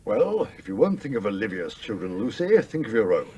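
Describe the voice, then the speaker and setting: An actor playing the gruff, blunt ghost of a sea captain in a radio play, with a British accent and emphatic, old-fashioned speech over background noise. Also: male voice, fairly low pitch, sing-song, a little fast, warm tone, highs cut off. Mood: scolding. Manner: blustery, emphatic, old-fashioned turns of phrase.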